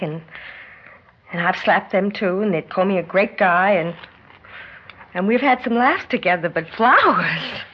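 A woman's voice: medium pitch, slightly rough. An actress with an American accent playing a tough but self-doubting young woman athlete in a 1960s radio drama, plain-spoken, slangy and emotional. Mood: moved, vulnerable. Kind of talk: 1960s radio drama acting with first-person narration. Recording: muffled 1960s radio recording.

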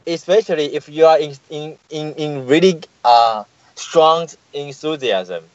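A man with a slightly high voice speaks over a dry, muffled call line. He answers haltingly, repeating words while he searches for the right phrase. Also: no echo. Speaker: guest, tour business manager. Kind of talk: interview answers.

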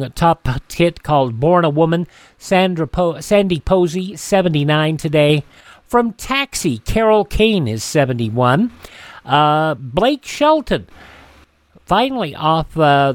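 Male radio host, informal announcer delivery, slightly high voice, dry studio sound. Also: no echo.

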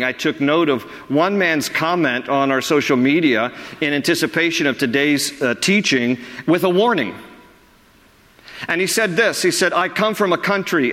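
A middle-aged male pastor preaching with emphatic force, his voice pitched somewhat high and leaping higher on stressed words. The sound rings with the long reverb of a large hall. He drives each point home. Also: a bit bright. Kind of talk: sermon.

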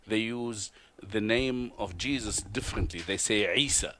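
A man from Tunisia with an Arabic accent, speaking low and earnestly as an interview guest. He halts and restarts often as he tells his story. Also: sharp tone. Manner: earnest, halting, many uhs and restarts. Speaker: guest, TV host and author. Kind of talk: interview testimony. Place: convention broadcast booth.

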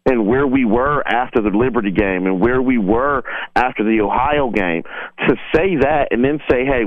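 A man's voice: medium pitch, no echo. A man giving casual, rambling football analysis on a sports show, often rephrasing himself as he goes. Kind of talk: casual conversational sports commentary. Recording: dry, band-limited phone-like line.

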